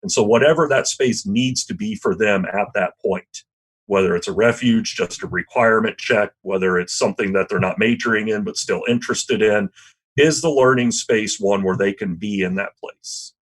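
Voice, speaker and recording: Low, husky man, history professor guest, loud, reflective, asides of "you know". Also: moderate pace, poor audio. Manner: loud voice, thoughtful with frequent "you know" and "right?". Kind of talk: reflective conversational interview. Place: podcast interview, remote or studio.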